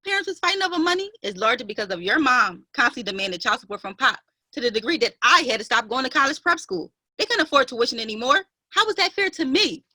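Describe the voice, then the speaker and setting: Actress as bright, indignant young-sister type woman. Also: high-pitched, husky, no echo, full character voice. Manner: emphatic, pleading, wounded. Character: aggrieved, indignant half-sister, sheltered and proper. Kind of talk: dramatic play reading.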